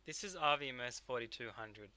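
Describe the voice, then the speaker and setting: A man narrating a software tutorial step by step as a technical instructor. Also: medium pitch, expressive, brisk, no room sound.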